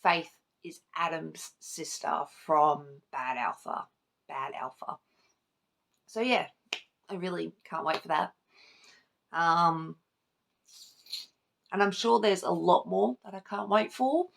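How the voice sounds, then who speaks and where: A woman's voice: fairly low pitch, slow, gravelly, long pauses. A woman talking casually and informally as a book vlogger, rambling, interrupting herself and swearing lightly now and then. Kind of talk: casual chat to camera about books.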